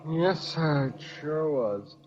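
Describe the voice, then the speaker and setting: Casting: sleepy, agreeable teen son, slightly high voice, low-key radio comedy delivery, dull vintage sound. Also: male voice, lively intonation, slow, darkish tone, a little hiss or hum. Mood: agreeable, drowsy. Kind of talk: 1950s radio sitcom acting. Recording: muffled 1950s radio recording.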